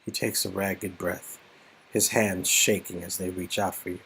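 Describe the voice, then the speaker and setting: A man's voice: low voice, level tone, unhurried, gravelly, sharp tone, slight background noise, poor audio. A man co-hosting a read-aloud of a story game, moving between scripted narration and casual reaction chat. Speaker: channel co-host, performer.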